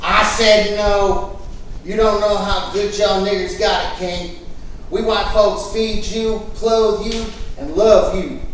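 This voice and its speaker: High-voiced racist American jailer, echoey hall. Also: male voice, fairly even intonation, slow, a little hiss or hum. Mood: sneering contempt. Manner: folksy, taunting, drawling vernacular. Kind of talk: staged musical theatre reading. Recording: echoey hall, distant mic, faint music.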